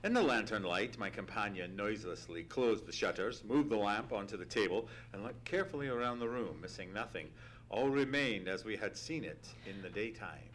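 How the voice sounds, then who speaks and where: A man playing an earnest gentleman sidekick who narrates a mystery with theatrical, deliberate storytelling in an old-time radio play. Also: fairly low pitch, lively intonation. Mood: measured suspense. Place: theatre stage. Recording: humming, noisy live theatre recording.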